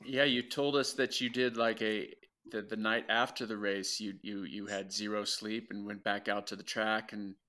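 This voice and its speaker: Bright-voiced man hosting a podcast. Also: on the low side, little pitch movement, normal speed. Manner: repeats words when starting sentences, laughs easily. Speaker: podcast co-host. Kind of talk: casual interview chat.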